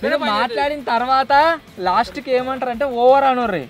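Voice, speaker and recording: Male vlog host, Telangana Telugu accent, very quick informal delivery, high voice. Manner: informal, slangy, bantering. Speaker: video host. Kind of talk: casual vlog chat.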